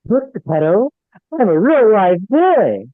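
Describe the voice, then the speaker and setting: A man plays a wisecracking, sarcastic young office worker in a sitcom read. His pitch jumps around wildly, and he sounds dry and thin, as if on a phone. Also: sing-song, takes it slowly, no room sound, narrow, tinny audio, exaggerated voice. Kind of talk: table read of a sitcom script.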